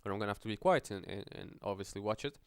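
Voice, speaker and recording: A man's voice: fairly low pitch, very fast. A man giving casual gameplay commentary in a hesitant, rambling, muttering way. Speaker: gaming video host.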